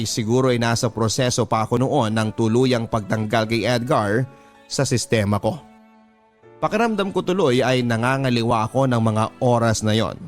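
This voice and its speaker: A man with a Filipino accent reads a first-person story in a measured, confessional way, as a radio drama narrator. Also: medium pitch. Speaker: radio drama narrator reading a listener's letter. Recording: music bed under the voice. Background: background music.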